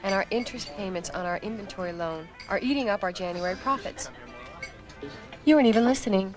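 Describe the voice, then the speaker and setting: A woman's voice: mid-range voice, fast talker, natural voice. Female executive played by an actress, middle register, pressing and concerned, scripted scene with music behind. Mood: insistent, annoyed. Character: worried, insistent businesswoman. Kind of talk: acted medical dramatization.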